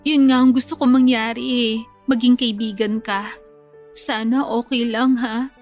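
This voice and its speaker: An actress playing a heartbroken, clinging young bride-to-be who confides plaintively and tearfully in a Filipino radio drama. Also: female voice, fairly high pitch, fairly even intonation, unhurried, faint music behind, lightly acted. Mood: sad, subdued.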